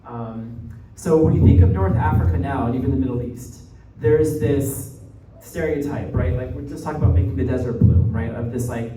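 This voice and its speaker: A man's voice: mid-range voice, moderate pace, few pauses, warm tone, soft background music. Muffled, echoey young American man lecturing. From New Jersey, United States. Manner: conversational, often tags points with "right?". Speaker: guest lecturer, graduate student. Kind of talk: informal academic presentation. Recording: muffled, echoey room, distant mic, faint noise.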